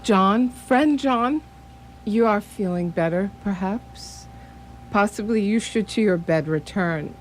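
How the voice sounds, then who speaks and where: A performer playing a grave, concerned foreign professor in a radio play, deliberate and using inverted word order. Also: mid-range voice, faint music behind, natural voice. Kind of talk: radio theatre acting.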